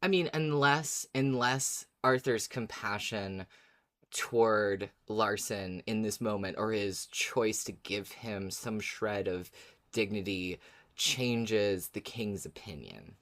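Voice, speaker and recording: A podcast co-host speaks at a middling pitch in casual discussion. The manner is agreeable and thoughtful, building each point step by step. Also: slow pace, fairly bright tone.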